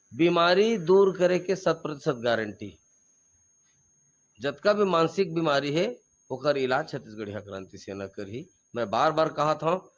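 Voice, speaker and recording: A man with a Chhattisgarhi accent answers interview questions as a regional activist. His voice sits fairly high, and he speaks with fire and force, hammering home repeated slogans. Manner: fiery, emphatic, repeats slogans.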